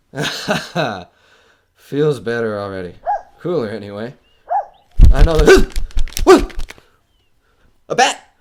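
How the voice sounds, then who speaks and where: A man's voice: medium pitch, background noise. A man acting in an audio horror drama as a skeptical, wisecracking radio man narrating a ghost hunt in a casual, hesitant way. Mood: startled, alarmed. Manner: casual, flippant, hesitant filler-strewn delivery. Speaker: host of a ghost hunt recording.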